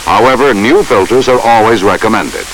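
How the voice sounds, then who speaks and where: A man reads scripted instructional narration for a training film in a measured, formal way. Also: on the low side, fairly bright tone, rough recording. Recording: hissy old training film soundtrack.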